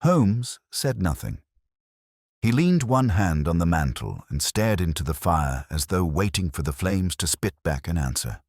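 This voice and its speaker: A man with an extremely low voice narrates a mystery story in solemn, literary phrasing. His pitch moves expressively through each measured sentence. The sound is dry and close, with little room around it. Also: a little mellow, slightly rough, dry recording. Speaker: audiobook narrator reading as Dr. Watson. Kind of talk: scripted dramatic story narration.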